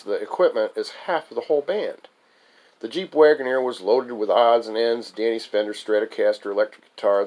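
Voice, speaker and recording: A man's voice: mid-range voice, a little mellow. A man reading his own first-person story aloud as its author, in a recitation style with the occasional stumble over the text.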